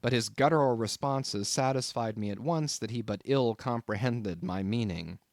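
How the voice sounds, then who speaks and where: A man's voice: mid-range voice, normal speed, darkish tone, no room sound, clean studio sound. A man reading a literary novel aloud as scripted audiobook narration. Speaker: audiobook reader.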